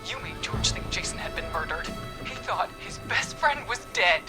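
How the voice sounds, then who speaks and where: An actress playing a bitter, menacing killer in a horror game, breathy and often dropping toward a whisper. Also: female voice, fairly low pitch, lively intonation, moderate pace, over music, natural voice. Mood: accusing. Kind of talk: scripted game voice acting.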